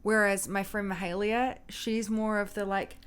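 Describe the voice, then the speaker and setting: A young woman with a low, loud voice, co-hosting a casual marriage advice chat and telling stories animatedly. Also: moderate pace, smooth. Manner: animated storytelling, acts out voices and quotes with laughs. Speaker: co-host of a marriage advice channel.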